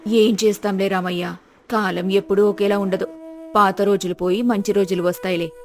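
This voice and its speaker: A woman's voice: mid-range voice, flat delivery, moderate pace, faint music behind, close to an everyday voice. A woman voicing a sympathetic visiting village wife in a moral story, with a sighing, consoling manner. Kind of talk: storybook dialogue acting.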